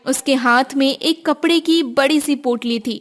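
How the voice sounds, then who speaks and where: A woman with a high voice narrates a cartoon moral story. She reads scripted lines as a storybook narrator would. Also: a little slow.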